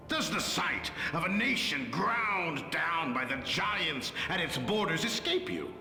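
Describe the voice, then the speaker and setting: A domineering, scheming ruler in middle age, lecturing in a commanding way. His tone is bright and sharp, with lively swings in pitch and an unhurried pace. A roomy reverb and soft game music sit around him. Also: heavily acted. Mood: furious. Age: middle-aged. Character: older male rebel leader turned archduke, scheming and domineering. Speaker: game character. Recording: reverberant with game score behind.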